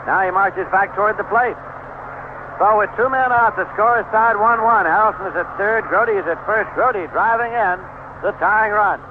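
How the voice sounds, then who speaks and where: A man does live baseball commentary for radio in a somewhat high-pitched voice. He describes the game in measured, clipped phrases. The sound is thin and band-limited, with clear noise over it, like an old AM broadcast from the seventies. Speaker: baseball play-by-play announcer. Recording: noisy, tinny vintage 1970s AM radio broadcast.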